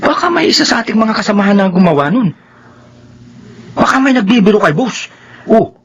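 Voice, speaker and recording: A high, husky-voiced worker in a Filipino horror radio play. He is worried about keeping his job and speaks with animated swings and a Filipino accent. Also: brisk, lo-fi sound, heavily acted. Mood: uneasy.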